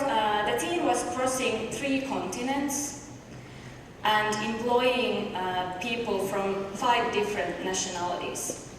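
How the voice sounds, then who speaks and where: A woman with a Finnish accent presents a research paper, her voice a touch higher than most and pitched to carry. She is heard from well back in a big hall with long reverb and a steady hiss behind her. She explains step by step and poses questions to the audience. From Finland. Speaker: conference presenter. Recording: echoey distant hall recording with noise.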